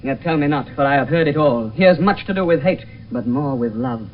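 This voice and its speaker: A man's voice: a little high, lively intonation, a little fast, lightly acted. An actor playing a lovesick, melancholy young nobleman, brooding poetically in a radio Shakespeare play. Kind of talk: 1970s radio Shakespeare acting. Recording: dull, hissy 1972 radio recording, distant.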